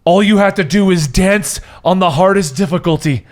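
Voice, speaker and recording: Man, slightly high voice, casual co-host, joking conversational delivery, occasional read-out items. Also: normal speed. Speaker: co-host of a list discussion show.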